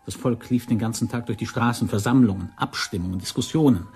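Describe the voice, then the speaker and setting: An actor playing a prince's cool, wary envoy in a German radio play, speaking in a measured, patronising, admonishing way. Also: male voice, mid-range voice, a little mellow, soft background music, lightly acted. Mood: stern, patronising. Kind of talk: German radio drama acting.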